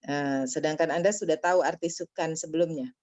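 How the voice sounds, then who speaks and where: A woman teaching Arabic in an online class, correcting a student's reading and drilling pronunciation slowly and firmly. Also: low voice, fairly even intonation, a little mellow, dry recording.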